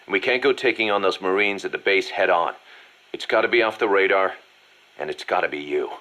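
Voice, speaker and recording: Calculating military deputy, low pitch, pragmatic, businesslike delivery, video game drama. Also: male voice, brisk, pushed and bright. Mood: urgent, resigned. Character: shrewd, pragmatic male mercenary second-in-command.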